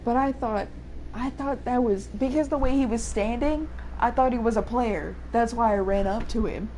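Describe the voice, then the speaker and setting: A young woman with a fairly deep voice for a woman, chatting casually and joking while streaming a game, swearing now and then. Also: moderate pace, dark tone, slight background noise. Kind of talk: casual gameplay chat.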